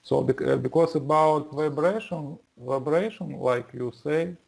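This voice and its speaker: An English learner with a non-native accent, speaking haltingly in a lesson and repeating words to practise. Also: mid-range voice, vocal fry, darkish tone, slightly rough, poor audio. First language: not English.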